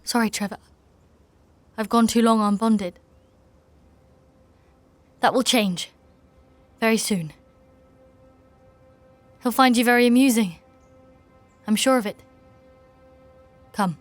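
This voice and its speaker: A woman's voice: a little high, dry recording. Solemn, battle-weary lady knight, music behind. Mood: contrite. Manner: formal, archaic, measured. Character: battle-weary female knight, stern, devout, formal, solemn narrator of her quest. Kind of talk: dark fantasy audio drama acting and narration.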